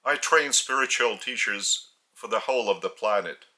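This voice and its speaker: A man from Lithuania with a Lithuanian accent, a spiritual teacher speaking in short, halting phrases, his native language being Lithuanian. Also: lowish voice, bright, forceful tone. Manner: halting, phrase-by-phrase delivery with pauses. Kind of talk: slow spiritual teaching talk.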